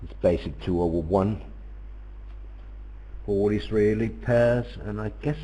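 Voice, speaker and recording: A man's voice: fairly low pitch, unhurried, warm tone, poor audio. A man whose first language is Danish, giving chatty, dry-humored live commentary on a bridge match. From Denmark.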